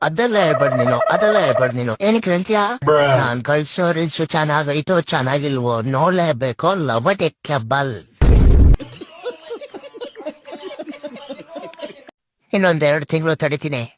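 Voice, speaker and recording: Man playing fast, brash cartoon guys. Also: a little high, lively intonation, background noise, close to an everyday voice. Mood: exasperated. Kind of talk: animated comedy skit dialogue.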